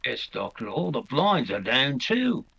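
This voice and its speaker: Plain-spoken radio police detective, male actor: gravelly, bright, respectful but matter-of-fact. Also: medium pitch, huge pitch swings, measured pace, lo-fi sound, a little hiss or hum, exaggerated voice. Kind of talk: old-time radio mystery acting.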